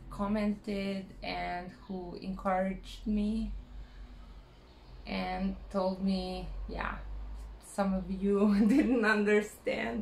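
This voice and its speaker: A Croatian-accented woman talks to her camera in English, her second language, hesitating and reaching for words with plenty of fillers. She speaks very slowly at an ordinary pitch. The sound is roomy with a clear echo. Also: a little mellow, slight background noise. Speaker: vlogger. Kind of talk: personal vlog monologue.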